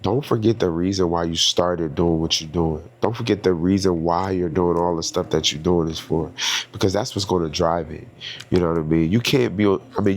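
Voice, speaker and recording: A man with a stuffy nose hosting his own podcast, talking in a casual, reflective and rambling way with lots of fillers. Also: deep voice. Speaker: podcast host and singer. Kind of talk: casual solo podcast monologue.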